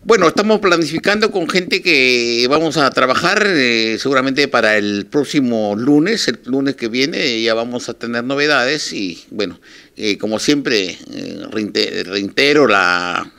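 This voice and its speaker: Casting: older Peruvian male, mid-pitched, radio manager giving an informal, halting interview statement into handheld mics. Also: expressive, gravelly, bright, forceful tone. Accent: Peruvian Spanish. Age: 60s or older. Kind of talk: informal televised statement to listeners. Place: small office, indoors. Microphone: handheld reporters' microphones held close.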